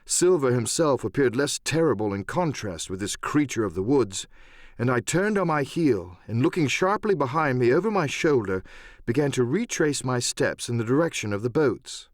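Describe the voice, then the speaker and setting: Animated male audiobook narrator, dry close sound. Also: mid-range voice, dry recording. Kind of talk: dramatic scripted narration of an adventure novel.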